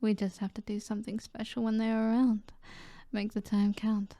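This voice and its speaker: A woman with a British accent voicing a seductive, self-assured mob boss in an ASMR roleplay, soft and flirtatious, often dropping to a whisper. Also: medium pitch, completely flat delivery, no room sound, studio quality, close to an everyday voice. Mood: teasing, seductive. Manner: flirtatious, teasing, unhurried.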